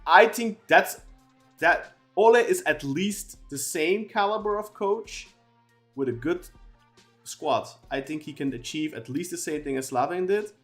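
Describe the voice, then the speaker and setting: A man's voice: on the high side, unhurried, fairly bright tone, soft background music. A man co-hosting a football podcast, talking at length in casual chat with many restarts and fillers. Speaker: podcast co-host.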